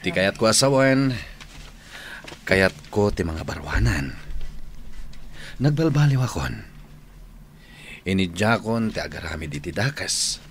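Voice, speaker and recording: A man's voice: sits low, very animated, slow pace, pauses often, slight background noise, full character voice. A man playing a repentant suitor pleading for forgiveness in an Ilocano radio drama, speaking earnestly with an Ilocano accent. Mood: remorseful, earnest. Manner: earnest, imploring. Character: repentant, pleading male suitor seeking forgiveness from wary woman.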